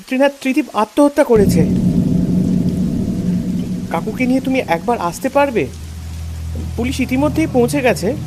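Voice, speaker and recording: A man's voice: very high-pitched, very noisy. A man playing an anxious, shaken young friend in an audio drama, earnest and urgent. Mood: panicked, pleading.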